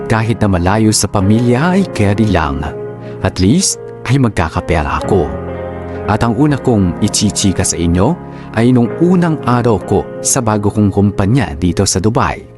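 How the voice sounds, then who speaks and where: A low-pitched male narrator tells a personal story in radio drama style. Music plays clearly behind the voice. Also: sing-song, normal speed. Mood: storytelling. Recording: music bed under the voice.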